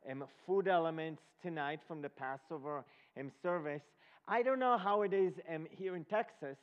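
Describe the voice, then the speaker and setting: A man from Israel, preaching and teaching a congregation in a halting, questioning manner. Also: fairly high pitch, clean tone. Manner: halting, asks the listeners check questions. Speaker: guest preacher leading a Passover presentation. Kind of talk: sermon, ceremonial teaching to a congregation. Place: large reverberant room before an audience. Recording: dull lo-fi recording in a reverberant hall.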